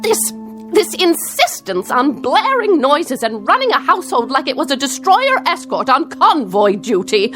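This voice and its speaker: Fed up wife in a radio play, middle aged, high voice, sardonic, really animated. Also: female voice, unhurried, soft background music, exaggerated voice. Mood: dry, exasperated. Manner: sardonic, exasperated, emphatic. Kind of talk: radio drama acting.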